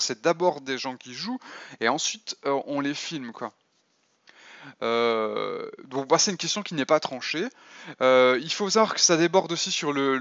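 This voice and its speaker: A man's voice: fairly high pitch, a bit bright, no echo. Hesitant man with a dry, dull sound. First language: French. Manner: hesitant, many fillers and restarts. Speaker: podcast host. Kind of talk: casual spoken essay on role-playing.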